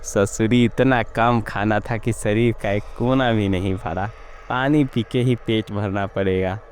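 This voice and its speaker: A male narrator puts on a poor village labourer, worn by hunger and worry. He speaks in a troubled, pleading way, as in an animated moral story. Noise is clearly present behind him. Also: on the low side, a little slow, close to an everyday voice. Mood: grumbling. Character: poor, hungry, desperate male farm labourer with a family.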